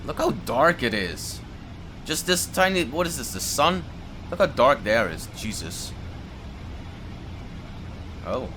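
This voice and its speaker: A man's voice: mid-range voice, sing-song, slow pace, pauses often, noisy background. A man hosting a gaming video, reacting aloud in casual commentary with exclamations and musing questions.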